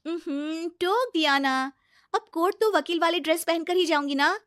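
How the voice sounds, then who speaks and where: Woman playing a high-voiced young lawyer daughter-in-law. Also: normal speed, a bit bright, no echo, studio quality. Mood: exasperated. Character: young, confident lawyer daughter-in-law, exasperated but polite.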